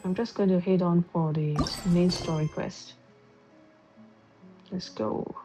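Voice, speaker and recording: A woman livestreaming from home in a subdued, casual chat, speaking into an earbud microphone while she plays a game. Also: slow. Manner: low-key, subdued. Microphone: wireless earbuds mic on a phone. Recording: dull lo-fi earbud mic, game music behind. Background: game music.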